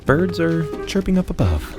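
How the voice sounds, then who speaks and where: A man's voice: a little high, very animated, moderate pace, music in the background. A man playing a sarcastic, skeptical hiker in a fiction podcast, with a dry, mocking manner. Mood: narrating. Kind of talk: audio fiction podcast acting.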